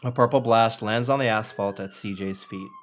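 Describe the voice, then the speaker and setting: A man's voice: lowish voice, moderate pace. A man reading out the stage directions and narration at a screenplay table read.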